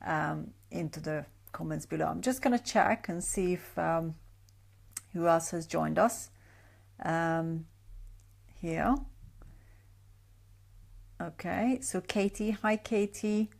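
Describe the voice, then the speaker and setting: A woman talks to viewers of a live stream as a sleep coach. Her voice sits a little low and has a gravelly, fry-edged texture. She chats loosely, with many fillers, and greets viewers by name. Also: takes it slowly, long pauses. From Hungary. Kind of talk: Facebook Live talk to a group. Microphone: webcam or phone on a live stream.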